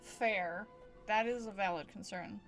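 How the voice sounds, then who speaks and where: A woman's voice: mid-range voice, measured pace, faint music behind. A woman livestreaming a game with casual, playful chatter and silly little asides. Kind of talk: casual gameplay stream chat.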